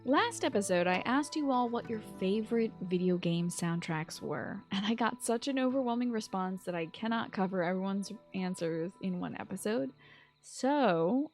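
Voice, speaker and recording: A woman hosting a solo podcast, reading scripted commentary with expressive, enthusiastic asides. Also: medium pitch, moderate pace, soft background music. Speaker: podcast host.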